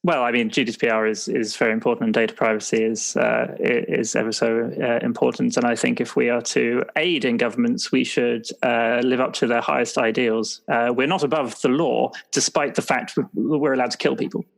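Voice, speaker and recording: A man playing an earnest, rule-minded secret agent in an improvised roleplay, speaking haltingly and conscientiously. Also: medium pitch, little pitch movement, creaky, lightly acted. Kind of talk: improvised roleplay audio drama.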